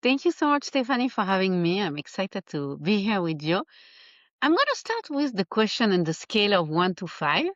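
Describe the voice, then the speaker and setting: A woman from Geneva with a Swiss French accent, a life coach chatting in an interview and often pausing to find her words. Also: medium pitch, no echo, highs cut off. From Geneva, Switzerland. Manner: animated, laughs often, searches for words. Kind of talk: casual interview chat.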